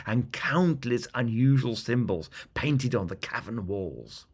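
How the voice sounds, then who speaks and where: A man narrating a scripted fantasy bedtime story as a storyteller, with dramatic, deliberate phrasing. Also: mid-range voice.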